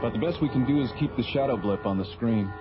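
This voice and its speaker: A man's voice: medium pitch, fast, over music, natural voice. An actor playing an earnest male communications technician on a space station in a radio drama, talking plainly. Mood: worried. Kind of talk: science fiction radio drama acting. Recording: dull old radio drama recording.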